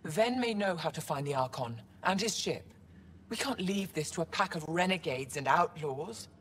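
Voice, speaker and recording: A woman's voice: on the low side, lively intonation, normal speed, in a large hall, a little hiss or hum, lightly acted. An actress playing a dignified older female alien scholar in a video game, speaking formally and with authority. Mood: indignant, commanding. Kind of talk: dramatic video game acting.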